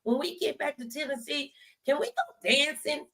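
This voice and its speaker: A middle-aged woman hosts a casual interview with a slightly high voice. She talks in a folksy, conversational way, telling stories and dropping in emphatic asides. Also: normal speed, fairly bright tone. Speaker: talk show host.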